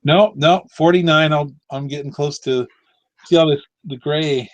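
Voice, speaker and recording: A man's voice: on the high side, steady tone, a little slow, lo-fi sound. A man in his late forties hosting a casual live video chat, rambling and correcting himself. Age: 40s. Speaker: live stream host.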